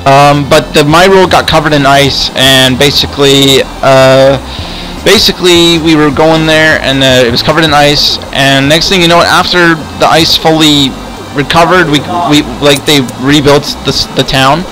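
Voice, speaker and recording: A man chatting casually in improvised game roleplay, rambling and often repeating words haltingly. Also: fairly high pitch, steady tone, bright, forceful tone, rough recording. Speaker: host and player in a roleplay game video. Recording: music behind the voice. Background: music.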